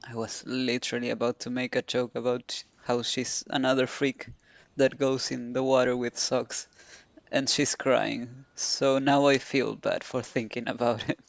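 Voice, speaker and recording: A woman streaming a video game, giving casual, low-key commentary and reactions. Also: flat delivery, a little slow, gravelly, dry recording.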